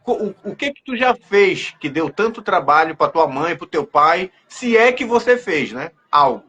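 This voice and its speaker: A man's voice: high voice, sing-song, normal speed, pushed and bright, husky, muffled recording. A man hosting a casual interview, speaking informally and often repeating the openings of his phrases. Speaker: podcast host.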